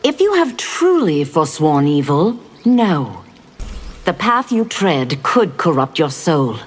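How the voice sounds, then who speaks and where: A woman's voice: low-pitched, sing-song, slow, soft background music, exaggerated voice. A woman playing a zealous, righteous demon in a fighting game, solemn, earnest and formal. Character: Ashrah, righteous female demon, zealous foe of evil. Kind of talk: fighting game character acting.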